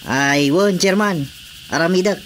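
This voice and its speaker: A man's voice: high voice, unhurried, fairly bright tone, a little hiss or hum. A man playing a deferential, agreeable village councilor reporting to his boss in an Ilocano radio drama. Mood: obliging.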